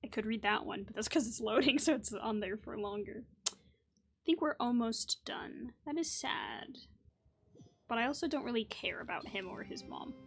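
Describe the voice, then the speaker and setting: A woman's voice: a little high, moderate pace, slightly rough. A woman hosting a gaming video, giving casual, offhand commentary and reactions as she plays. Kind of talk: casual game commentary and reading along.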